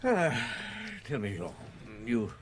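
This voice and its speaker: A man playing a shrewd, unhurried French police chief inspector in a radio drama, musing and wry. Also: medium pitch, wildly swooping pitch. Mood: probing, wry. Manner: musing, deliberate, wry, hesitant pauses. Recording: old radio broadcast.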